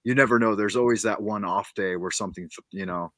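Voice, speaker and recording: A man's voice: on the low side, brisk, a bit bright, no room sound, clean studio sound. A man hosting an interview, upbeat and promotional, who often stammers on the first words of a sentence. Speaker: interview host. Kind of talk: casual interview conversation.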